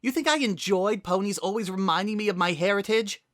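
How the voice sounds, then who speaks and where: A man acts out a guilty younger sister, a female unicorn pony in a storybook drama. The voice sits high and tense, blunt and defensive with plenty of emotion. The recording is dry. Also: fairly quick, fairly bright tone, no room sound. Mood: upset, heated.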